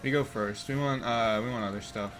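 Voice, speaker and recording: A man in his twenties giving casual gameplay commentary from a room, thinking out loud and swearing in quick bursts of excitement. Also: mid-range voice, faint music behind. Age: 20s. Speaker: streamer playing a card game. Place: room indoors.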